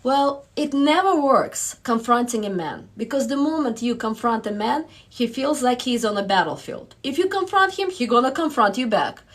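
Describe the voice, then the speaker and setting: A woman's voice: fairly high pitch, sing-song, moderate pace, hardly any pauses, fairly bright tone. A woman with a Russian accent giving direct relationship advice, reading out viewer questions and laughing between points. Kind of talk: casual live-stream advice.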